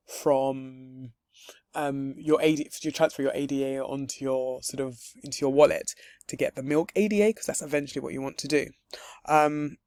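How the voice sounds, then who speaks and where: A man with a hoarse, husky voice from losing it, giving a chatty, upbeat screen-share walkthrough of a crypto setup. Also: on the high side, a little mellow, no echo, clean studio sound. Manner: chatty and upbeat, with light laughs and frequent um's. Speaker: crypto tutorial creator.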